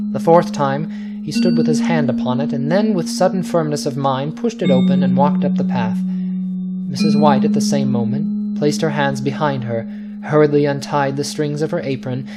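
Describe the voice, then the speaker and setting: Male dramatic narrator over prominent music. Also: a little high. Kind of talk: dramatic scripted story narration.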